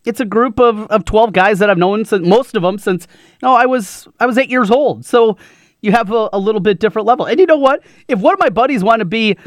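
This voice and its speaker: A man with a fairly high voice chats casually on sports radio, stumbling through restarts and fillers. The sound is dry and close. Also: no room sound. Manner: halting, fillers and restarts, agreeable.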